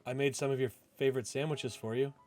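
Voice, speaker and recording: A man voicing a shy, kind young woman coworker in a visual novel, tentative and gentle. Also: medium pitch, steady tone, fast, voice pushed up, close to an everyday voice. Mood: hopeful, timid. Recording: music playing behind the voice.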